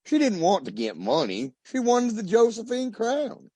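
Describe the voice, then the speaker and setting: An actor playing a nervous, guilty wealthy husband with a husky voice and a flustered, theatrical manner in an old-time radio detective drama. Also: male voice, very high-pitched, huge pitch swings, measured pace, mellow, dry recording, heavily acted. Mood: sheepish.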